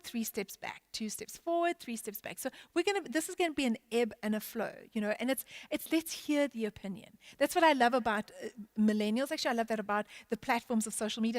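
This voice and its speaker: A woman's voice: on the high side, dry recording, studio quality. A woman talking through her thoughts as a church minister in an unscripted discussion, earnest and emphatic, repeating phrases to press her point.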